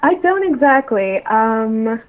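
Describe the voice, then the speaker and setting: A woman's voice: a little high, animated, takes it slowly. A woman giving casual interview answers as a guest on a remote call. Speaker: interview guest, associate editor at a publisher. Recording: muffled, band-limited remote call line.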